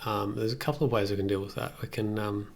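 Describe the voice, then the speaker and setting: Very creaky-voiced young man thinking aloud. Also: lowish voice, fairly even intonation, fast. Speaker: music production livestreamer. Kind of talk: casual tutorial chat while working. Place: indoors at a computer desk.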